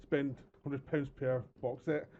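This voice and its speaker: A man's voice: mid-range voice, little pitch movement, slow. A man with a Scottish accent co-hosting a podcast, joking around and laughing through his lines. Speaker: podcast co-host. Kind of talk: casual podcast chat. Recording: muffled lo-fi audio with reverb.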